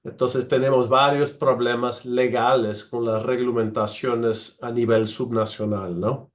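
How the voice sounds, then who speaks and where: A man whose first language is Portuguese, presenting in a virtual panel over a video call, halting with many fillers and restarts. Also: mid-range voice, fairly even intonation. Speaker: researcher and panelist.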